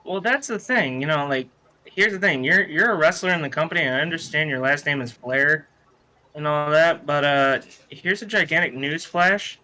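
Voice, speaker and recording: A man's voice: on the high side, moderate pace, pushed and bright. A man co-hosting a podcast in casual chat, blunt and opinionated, swearing freely. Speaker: podcast co-host. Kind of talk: casual wrestling review chat.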